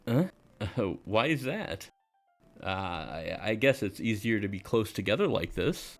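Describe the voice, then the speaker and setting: A man's voice: lowish voice, animated, measured pace, faint music behind. A man as an understated, awkward schoolboy narrator. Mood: hesitant, awkward. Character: awkward, mild high school boy narrating his story. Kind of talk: first-person visual novel narration and dialogue.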